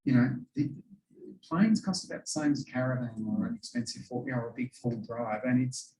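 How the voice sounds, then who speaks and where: A man with an Australian accent, a pilot answering audience questions in a relaxed, chatty way full of "you know". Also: medium pitch, a little fast, far from the mic. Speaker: pilot speaking to a historical society. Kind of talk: casual question-and-answer talk. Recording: roomy echo, voice away from the mic.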